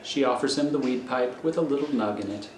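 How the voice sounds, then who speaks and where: A man narrating scripted stage directions for a radio thriller in a measured, matter-of-fact manner. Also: mid-range voice. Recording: echoey room, faint noise.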